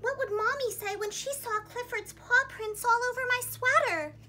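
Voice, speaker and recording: Very high, bright young woman storyteller. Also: moderate pace. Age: young adult. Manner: expressive, dramatic storyteller delivery. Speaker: preschool teacher and storytime host. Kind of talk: animated read-aloud for young children. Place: small indoor room, seated against a plain wall.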